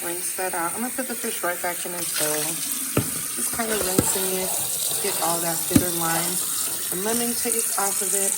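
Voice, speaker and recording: A woman hosting a casual home cooking video, thinking aloud while she cooks one-handed in her kitchen. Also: medium pitch. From Haitian family background. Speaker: home cook showing a recipe. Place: home kitchen with running tap. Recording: background noise.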